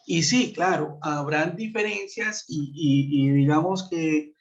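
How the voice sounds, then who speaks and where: Colombian guy teaching english online, kinda high voice, lots of eh and cierto, dull lo-fi call audio. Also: slow pace. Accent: Colombian Spanish. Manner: explanatory, many fillers and tag questions. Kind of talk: web conference lesson. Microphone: computer mic on a video call. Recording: muffled lo-fi web call audio.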